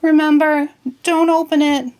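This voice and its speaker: A woman's voice: high voice, level tone, unhurried, a little mellow, pitched above the performer's own voice. A woman voicing an eager, earnest, cheerful male cartoon sea sponge for a storybook. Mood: excited. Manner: eager, exclamatory, fussy.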